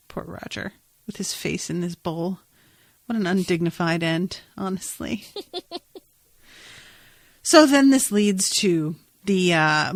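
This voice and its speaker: A woman's voice: mid-range voice, no room sound. A woman hosting a podcast, casually recapping a TV episode in a wry, sweary way and acting out bits of dialogue. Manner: wry, sweary, animated retelling with acted-out quotes. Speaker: podcast host.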